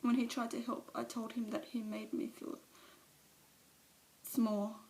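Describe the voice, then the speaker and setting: Woman performing as a gravelly, ashamed recovering mother. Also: fairly low pitch, flat delivery, moderate pace, close to an everyday voice, rough recording. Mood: anguished. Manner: confessional, dropping to whispers at times. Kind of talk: dramatic monologue performance. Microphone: phone camera.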